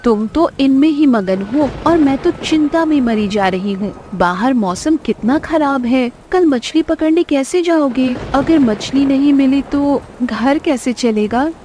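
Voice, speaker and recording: A woman's voice: high voice, animated, measured pace, warm tone, a little hiss or hum. A woman voicing a fretful but loving fisherman's wife in an exclamatory Hindi cartoon style. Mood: worried.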